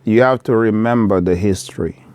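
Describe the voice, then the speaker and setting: A middle-aged man from the Democratic Republic of Congo, speaking straight to camera from his shop in an earnest, measured appeal that repeats key phrases. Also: lowish voice, darkish tone, no room sound. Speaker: vlogger, business owner.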